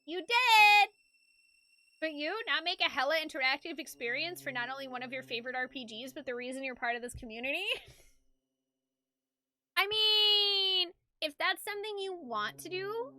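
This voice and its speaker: High, bright, animated woman game streamer. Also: unhurried. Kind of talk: live stream chat mixed with expressive story narration.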